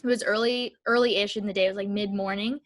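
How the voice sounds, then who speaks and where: A woman's voice: mid-range voice, steady tone, quick pace. A young woman co-hosting a podcast, telling stories casually and animatedly, peppering her speech with "like" and acting out dialogue. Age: young adult. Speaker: podcast co-host. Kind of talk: casual chatty storytelling.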